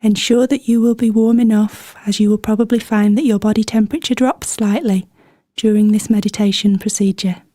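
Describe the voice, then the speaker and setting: Woman doing guided meditation, soft dark mellow voice, gentle n soothing, pauses a lot, really dry close sound. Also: mid-range voice, steady tone, dry recording. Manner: soothing, gentle, unhurried pauses between phrases. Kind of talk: guided body scan meditation, scripted instruction.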